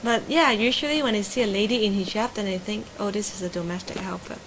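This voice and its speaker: A woman teacher answering interview questions in a calm, explanatory way. Her is Cantonese, and her voice sits at a middle pitch. A faint background hiss runs under her speech. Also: fast talker.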